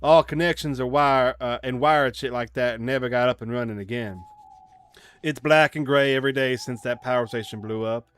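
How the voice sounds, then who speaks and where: A man voicing a haunted country boy recounting his childhood, reading visual novel narration plainly and a little haltingly. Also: mid-range voice, a little hiss or hum, close to an everyday voice.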